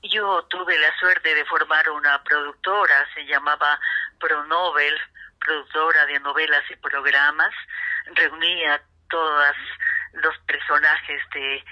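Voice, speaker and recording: An older woman with an Ecuadorian accent reminisces in an interview as a radio actress, pausing between phrases. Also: sits low, flat delivery. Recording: dull, narrow-band sound.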